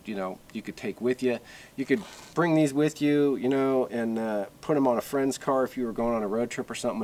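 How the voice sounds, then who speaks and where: A man with a fairly low voice talks through a product in a casual, unscripted way. He explains things conversationally and haltingly, leaning on fillers, with some steady noise behind him. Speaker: product reviewer.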